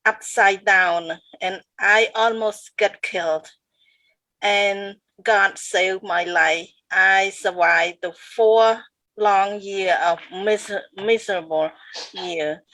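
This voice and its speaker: Woman from Cambodia, very slow, bright dry voice, earnest. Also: on the high side, no room sound, rough recording. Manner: slow, earnest, repeats words. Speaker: co-host.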